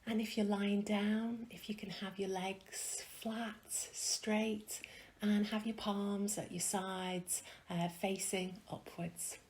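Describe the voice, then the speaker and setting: Slow, soft woman guiding a meditation. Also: mid-range voice, level tone. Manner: soft, soothing, gentle delivery with long pauses. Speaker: meditation guide. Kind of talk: guided meditation.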